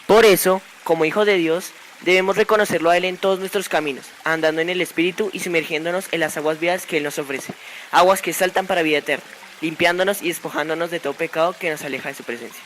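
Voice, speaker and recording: A man's voice: fairly high pitch, steady tone, fast, fairly bright tone, a little hiss or hum, poor audio. A male reader giving a scripted devotional narration.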